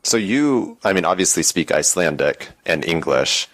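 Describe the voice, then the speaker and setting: A man's voice: deep voice, very animated, normal speed, fairly bright tone. A man co-hosting a podcast, asking curious follow-up questions in a casual interview. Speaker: podcast co-host.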